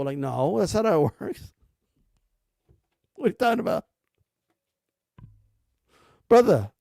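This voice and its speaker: A man's voice: high-pitched, a little mellow, dry recording, clean studio sound. A man giving blunt, rambling commentary about boxing, full of slang and cursing. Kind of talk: casual ranting commentary.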